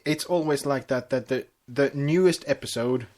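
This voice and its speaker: A man co-hosting a podcast, chatting casually and narrating a story in a halting way with stumbles. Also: medium pitch, darkish tone. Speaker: podcast co-host and fan fiction reader.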